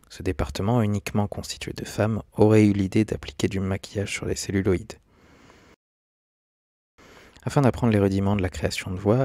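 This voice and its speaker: A man's voice: lowish voice, a little mellow, dry recording, studio quality. A man giving scripted, documentary-style narration as a video essayist.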